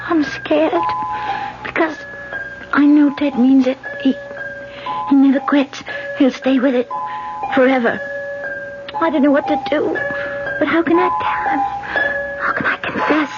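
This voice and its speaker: An actress playing an anxious young woman running for office who hides a past killing, with a halting, fearful stammer, in a 1970s radio drama on a dull vintage recording. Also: high voice, animated, over loud music.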